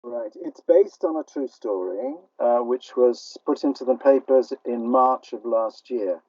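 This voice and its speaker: A man's voice: mid-range voice, unhurried, darkish tone, dry recording. Older British man on a dull line. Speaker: playwright, interview guest. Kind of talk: conversational interview. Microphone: remote call line. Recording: dull, band-limited call line.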